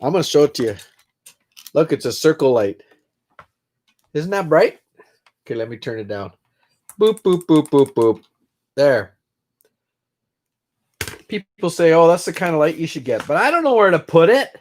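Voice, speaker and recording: A man's voice: on the high side, sing-song, normal speed, long pauses, darkish tone. A middle-aged man who is congested, rambling casually on a live stream from his own room and greeting viewers by name. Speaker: livestream host.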